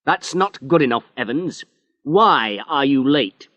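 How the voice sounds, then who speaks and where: A man voicing a big, stern police inspector who scolds and mocks loudly. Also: lowish voice, expressive, a little slow, no echo, muffled recording, voice pushed up. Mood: shouting. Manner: loud, scolding, mocking.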